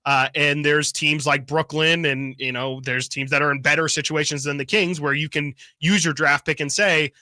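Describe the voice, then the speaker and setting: Man, radio co-host, slightly high and bright voice, explanatory and casual. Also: fairly even intonation, moderate pace, hardly any pauses, no echo. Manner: explanatory, leans on "like" and "you know". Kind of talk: sports talk radio discussion.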